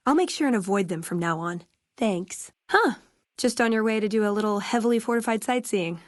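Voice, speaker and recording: A woman's voice: fairly high pitch, no echo, studio quality, lightly acted. An actress playing a friendly, wry young American woman in a video game, with casual, playful, sardonic conversational delivery. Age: young adult. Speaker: video game companion character. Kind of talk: scripted game dialogue, conversational.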